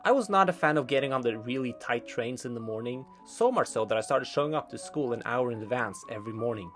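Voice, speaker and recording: A young man from Norway narrating his own vlog in a scripted voice-over with dry, deadpan humor. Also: mid-range voice, quick pace, faint music behind. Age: young adult. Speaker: vlogger narrating his life.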